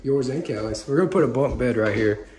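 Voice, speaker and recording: A man's voice: medium pitch, lively intonation, a little fast, reverberant, noisy background. A young man joking casually during a house tour in an empty home. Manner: joking, playful. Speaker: vlogger. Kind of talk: casual chat. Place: empty new house, indoors.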